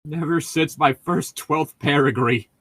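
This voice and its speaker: A man's voice: fairly high pitch, a little slow, fairly bright tone, no room sound. A man co-hosting a casual fan fiction reading, riffing in a profane, sardonic way. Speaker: podcast co-host reading fan fiction aloud.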